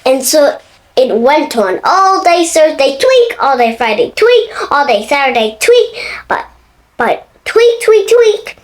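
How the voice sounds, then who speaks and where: Small girl, six, high voice, animated storybook read-aloud at an unhurried pace with little stumbles. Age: child, six years old. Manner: expressive, with stumbles and self-corrections. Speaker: young storybook reader. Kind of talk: reading a picture book aloud.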